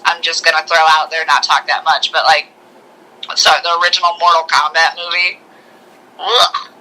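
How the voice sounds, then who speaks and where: A woman co-hosting a podcast, chatting informally about movies with halting starts and bits of mimicked dialogue. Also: lowish voice, pushed and bright, low-quality recording. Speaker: podcast co-host. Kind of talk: casual movie review chat.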